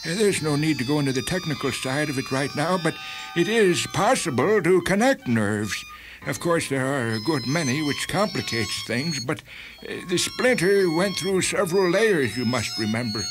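A man's voice: fairly high pitch, animated, moderate pace, close to an everyday voice. A man playing an anxious, evasive surgeon with a hesitant, hedging manner in a radio story drama. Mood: evasive, placating. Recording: music clearly behind.

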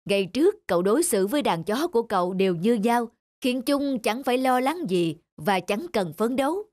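High-voiced older cartoon mentor. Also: female voice, big pitch swings, moderate pace, darkish tone, no echo, clean studio sound. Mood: knowing, instructive. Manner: instructive, explanatory. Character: older, experienced sled-dog musher giving advice. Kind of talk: animated cartoon voice acting. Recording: faint music under the dialogue.

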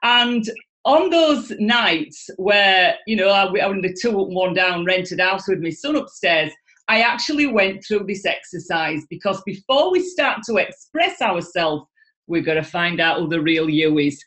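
A middle-aged woman with a Yorkshire accent, speaking as a guest in an enthusiastic, expressive way over a video call from home. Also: fairly low pitch, a little slow, poor audio. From Yorkshire, England. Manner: enthusiastic, expressive, gestures a lot. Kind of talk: animated motivational talk. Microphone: video call webcam.

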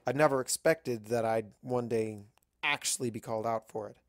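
Gravelly-edged man narrating a story, dry sound. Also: medium pitch, moderate pace, dry recording. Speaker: narrator of fan fiction. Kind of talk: expressive first-person audiobook-style narration.

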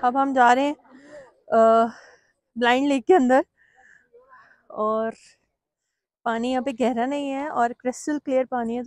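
A woman's voice: a little high, dry recording. Chatty woman vlogging outdoors by a lake. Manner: chatty, mixes English phrases into her talk. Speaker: travel vlogger. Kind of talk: casual travel vlog narration.

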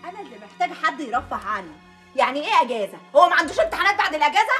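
A woman's voice: high voice, sing-song, very fast, fairly bright tone, faint music behind. An actress with an Egyptian accent playing a frazzled, sarcastic working mother in a TV comedy drama. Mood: exasperated.

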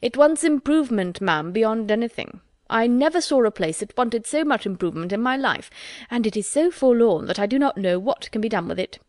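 A woman voicing an eager, rambling young gentleman who gushes emphatically. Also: fairly high pitch, animated, fairly quick, no echo, clean studio sound, natural voice. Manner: gushing, emphatic.

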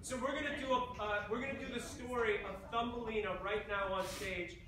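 A man's voice: high voice, brisk. A man hosting an improv comedy show, chatting casually and projecting to a live audience. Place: large theatre stage, indoors. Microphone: far camera. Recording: echoey hall from far off, noisy audience.

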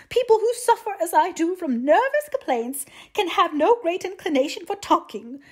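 A woman's voice: high voice, voice pushed up. A woman voicing a querulous, self-pitying middle-aged mother in an audiobook, with a melodramatic, plaintive, complaining manner. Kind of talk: audiobook character voice.

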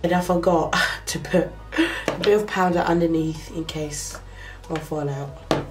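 A woman's voice: low-pitched, steady tone, over music. A young woman with a cold, sounding nasal, chatting step by step through a makeup tutorial in her bedroom, close to the camera. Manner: slow, chatty step-by-step talk with fillers. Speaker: makeup vlogger. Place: small bedroom indoors. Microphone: camera close up to the face.

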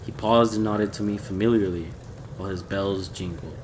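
A man reading a gothic horror story aloud in a measured, theatrical way. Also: low voice, steady tone, darkish tone, slight background noise. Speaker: audiobook reader.

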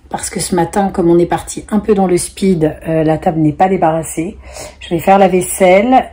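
A woman's voice: fairly low pitch, mellow, slightly rough. A woman in her forties, chatting casually to camera from her sofa, stuffy-nosed from a cold, rambling with many fillers. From France. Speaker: family vlogger, single mother. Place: living room on a sofa, window open to a busy street. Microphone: camera at close range.

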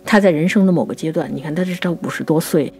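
A woman with a fairly low voice talks through literature as a podcast co-host. She explains in a thoughtful, conversational way and restates her points. Soft music plays behind her. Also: fast talker.

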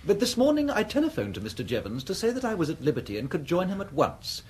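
An actor playing a pompous, aggrieved private secretary with an English accent, speaking in a stiff, formal way in a radio comedy. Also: male voice, high voice, wildly swooping pitch, fast talker, a little mellow, slight background noise. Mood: stiff, wounded. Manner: formal, stiff, self-important. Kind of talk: radio comedy drama acting.

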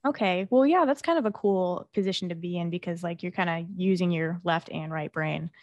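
A woman's voice: on the low side, a little fast, darkish tone, highs cut off. A young woman hosting a casual interview from her home office, with friendly backchannels and light laughs. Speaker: host. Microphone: desk microphone.

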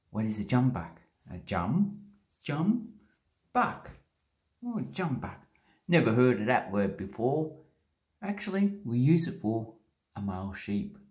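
A man in his forties with an Australian accent, cheekily explaining slang into a phone held close in a small bathroom. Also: mid-range voice. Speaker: slang vlogger. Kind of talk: casual explainer vlog. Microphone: phone camera held close.

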